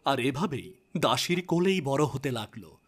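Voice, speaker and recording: A man's voice: medium pitch, animated, moderate pace, a bit bright, husky. A man reading scripted fairy-tale narration for a cartoon.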